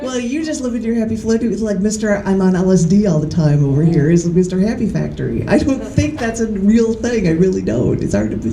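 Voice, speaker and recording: A woman poet giving a live reading in a bookstore, reading in a measured, deliberate way with chatty, laughing asides between poems. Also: mid-range voice, expressive, mellow. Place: large bookstore, indoors. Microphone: camera mic. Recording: echoey room from the camera.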